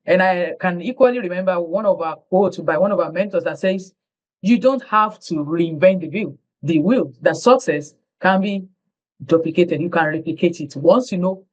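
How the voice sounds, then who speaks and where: A woman's voice: lowish voice, moderate pace, a little mellow, highs cut off. A woman hosting an interview, effusive and stretching her words for emphasis over a video call. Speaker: interview host.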